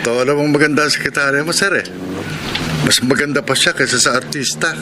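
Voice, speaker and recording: An actor playing a loyal male driver and aide who speaks respectfully and keeps a gentle distance, in a radio drama. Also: on the high side, fast talker, background noise, natural voice. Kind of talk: classic radio drama acting.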